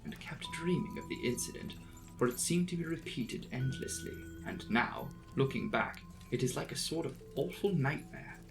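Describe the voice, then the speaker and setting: A man's voice: medium pitch, vocal fry, poor audio. A man giving a dramatic, suspenseful reading of a classic gothic novel as an audiobook narrator.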